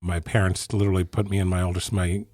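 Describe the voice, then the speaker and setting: A man's voice: deep voice, little pitch movement, fast, mellow, dry recording. A middle-aged man from Ethiopia, a physician turned executive, answering interview questions in a thoughtful, meandering way with frequent restarts. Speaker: physician and business executive guest.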